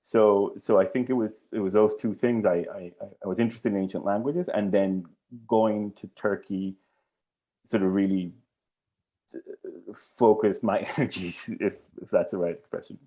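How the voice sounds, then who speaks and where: A man's voice: fairly low pitch, steady tone, normal speed, dark tone. A middle-aged man from Colombia, a professor answering interview questions in a hesitant, thoughtful way with many restarts. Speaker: archaeology professor, guest.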